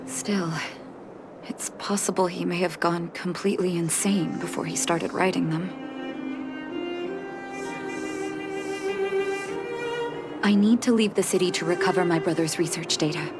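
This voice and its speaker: A woman playing a grave, resolute young healer in a video game, measured and reflective as she grieves a lost brother. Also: on the low side, fairly even intonation, natural voice. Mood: troubled, resolute. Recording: game music behind the voice.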